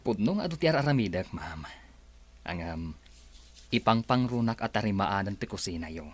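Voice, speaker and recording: Shy, low-voiced Filipino handyman. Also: animated, moderate pace. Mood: polite. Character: Rod, shy, earnest handyman courting a woman. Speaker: radio drama actor. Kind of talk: Filipino radio drama acting.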